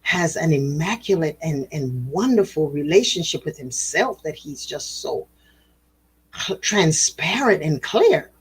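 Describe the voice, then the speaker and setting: A benevolent old male god, husky and low-voiced, praising what he sees with gentle wonder, as a woman storyteller puts him on. The delivery is soft and unhurried, close to an everyday voice, with warm bursts of exclamation. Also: lively intonation, heavily acted. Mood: admiring. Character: wise old male deity, kindly and admiring.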